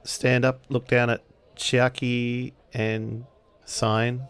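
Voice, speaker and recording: A man improvising third-person narration as a player in a tabletop role-playing game, halting as he thinks aloud. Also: mid-range voice, level tone, fairly bright tone, smooth.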